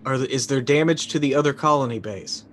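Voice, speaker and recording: A confused company man, played by a man at a game table, with a medium-pitched voice. He stammers through his questions, exasperated and dryly sarcastic. There is faint noise behind him. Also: fast, a bit bright, natural voice. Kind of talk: tabletop game role-play.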